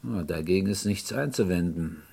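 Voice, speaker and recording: Low-voiced, polite police inspector. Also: male voice, moderate pace, vocal fry, mellow, husky, exaggerated voice. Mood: probing, polite. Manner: hesitant politeness, probing questions. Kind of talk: German radio crime drama acting.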